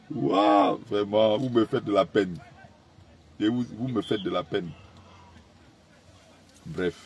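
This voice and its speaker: A man's voice: fairly low pitch, extremely slow, long pauses, slight background noise. A man commenting on a clip in a mocking, exasperated tone.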